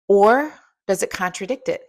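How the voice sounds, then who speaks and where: A woman's voice: medium pitch, lively intonation, moderate pace. A woman in her fifties giving a motivational coaching talk, emphatic and asking the listener direct questions. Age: 50s. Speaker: strength coach and host.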